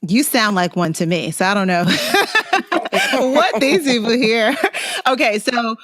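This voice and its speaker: A woman hosting a casual interview show, playful and quick to laugh. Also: on the high side, sing-song, fairly bright tone. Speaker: podcast host.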